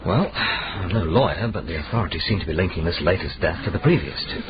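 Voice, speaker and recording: An actor playing an earnest, well-bred young gentleman in a radio drama, inquisitive, precise and formal. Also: low-pitched, lively intonation, fast, a crowd in the background. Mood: probing. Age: young adult. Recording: dull, noisy radio drama recording.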